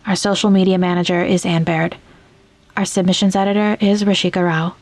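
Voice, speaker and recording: Low, even-toned woman podcast host. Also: brisk, no echo. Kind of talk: scripted narration, podcast outro and credits.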